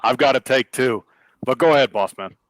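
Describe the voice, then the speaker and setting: A man's voice: mid-range voice, brisk, dry recording, rough recording. A man in a casual group discussion, informal and agreeable as he chimes in. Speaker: discussion panelist. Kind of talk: casual chat.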